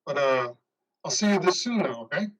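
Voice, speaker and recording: A man's voice: on the high side, lively intonation, fairly quick, a bit bright, recorded from a distance. A man playing a loyal, awkwardly sympathetic buddy in an amateur play reading, casual and hesitant. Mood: awkward, supportive. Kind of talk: amateur reader's theater over video.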